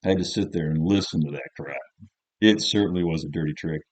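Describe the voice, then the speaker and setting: A man's voice: a bass voice, fairly quick, a little mellow, no echo. A man reading as a jaded, cynical teenage schoolboy, narrating an audiobook in the first person in a colloquial, grumbling, offhand way. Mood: resentful.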